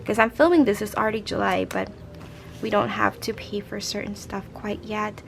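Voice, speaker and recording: A young woman with a raspy, hoarse voice, chatting casually as a budgeting vlogger and counting out cash into envelopes. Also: mid-range voice, flat delivery, normal speed, slight background noise. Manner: chatty, rambling, counts money aloud. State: hoarse from a late night. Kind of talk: casual chat while stuffing cash envelopes.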